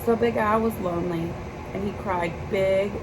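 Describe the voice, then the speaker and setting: A woman's voice: mid-range voice, normal speed. A woman reading a children's picture book aloud in a storybook narration style. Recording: clear steady background noise.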